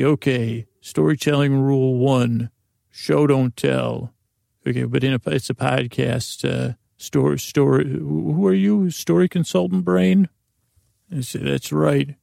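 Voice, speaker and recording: A man hosting a podcast, meandering through a soft, self-deprecating bedtime monologue full of fillers. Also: mid-range voice, vocal fry, dry recording, clean studio sound. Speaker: podcast host.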